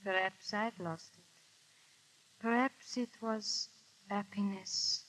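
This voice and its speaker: An actress playing a proud, unhappy aristocratic wife with refined, emotive delivery in 1930s radio style. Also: female voice, medium pitch, fairly even intonation, takes it slowly, fairly bright tone, rough recording. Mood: hurt, wistful. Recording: vintage 1930s radio broadcast.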